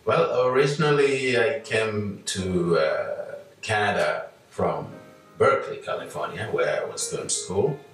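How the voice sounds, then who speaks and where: Older man, from Nigeria, very low voice, slow halting delivery, distant mic, echoey room. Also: fairly bright tone, soft background music. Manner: slow, hesitant with many fillers. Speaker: interview guest, musician. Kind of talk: relaxed reflective storytelling.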